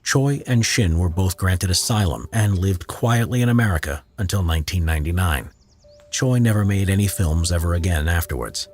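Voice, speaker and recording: A man narrating a history documentary in a measured, expressive, scripted storytelling style. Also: sits low. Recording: narration with faint music bed.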